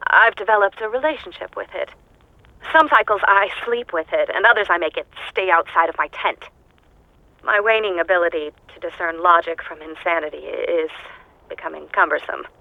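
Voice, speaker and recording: A woman's voice: fairly low pitch, normal speed, dry recording, lightly acted. An actress voicing a lonely, unhinged woman scientist who is recording audio logs alone on a hostile planet. She speaks in a dry, clipped, detached way that drifts into manic glee. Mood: rambling. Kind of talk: game audio log monologue. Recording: dull lo-fi game audio log.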